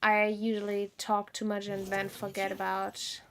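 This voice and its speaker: A woman giving casual gameplay commentary as a streamer, chatty and thinking aloud in halting bursts. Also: medium pitch, monotone, a little hiss or hum. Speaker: game streamer.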